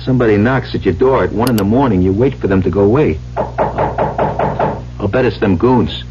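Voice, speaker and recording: A man's voice: on the low side, normal speed, close to an everyday voice. A man acting in a 1970s radio drama as an exasperated, uneducated typist, griping and confiding in plain slang. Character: uneducated, exasperated working-stiff male typist, plain-spoken. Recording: muffled old radio broadcast with music.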